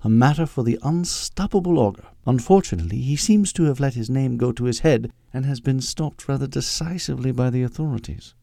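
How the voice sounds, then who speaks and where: A man's voice: medium pitch, expressive, brisk, vocal fry, hardly any pauses, dark tone, no room sound, pitched above the performer's own voice. A man voicing a prim, imperious elderly British woman, a secretary in an audiobook story. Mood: dry, firm.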